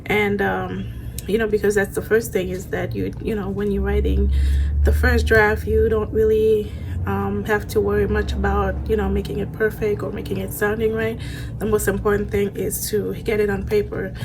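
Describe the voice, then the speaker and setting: Flat-voiced woman, halting with many ums. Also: medium pitch, vocal fry, noisy background. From Haiti. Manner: hesitant, many ums and you-knows. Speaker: author. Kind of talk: casual talk to camera. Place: indoor room with bookshelves. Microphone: phone camera at arm's length.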